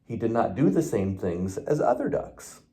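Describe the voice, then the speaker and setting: A man's voice: on the low side, very animated, normal speed, dark tone. A man, a police officer, reading a children's storybook aloud expressively, with the odd small stumble.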